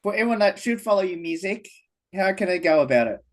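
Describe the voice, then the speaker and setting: A woman's voice: mid-range voice, lively intonation, quick pace. Friendly Australian woman interviewer. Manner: brief, friendly questions. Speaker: radio interviewer. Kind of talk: interview questions. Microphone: video call.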